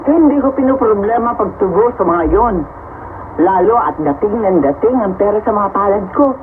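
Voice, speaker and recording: A woman's voice: mid-range voice, lively intonation, moderate pace, background noise, close to an everyday voice. An actress playing a money-hungry, insecure middle-aged mother who defends her schemes in a Filipino radio drama. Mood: breezy, confident. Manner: self-justifying, dramatic. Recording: thin phone-like sound, loud music behind.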